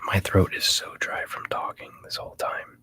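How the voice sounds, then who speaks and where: A man hosting a casual ASMR livestream, chatting in a breathy whisper and rambling with hesitant pauses. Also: pushed and bright. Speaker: livestream host.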